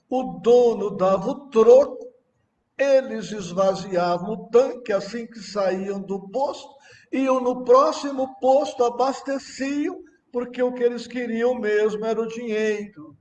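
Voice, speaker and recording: Reverberant and far from the microphone, a Brazilian man delivers a religious lecture. His voice sits high for a man, and he moves very slowly, setting out short, emphatic phrases in a deliberate oratorical manner. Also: a little mellow. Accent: Brazilian Portuguese. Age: middle-aged or older. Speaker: Spiritist lecturer. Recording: echoey reverberant room, distant microphone.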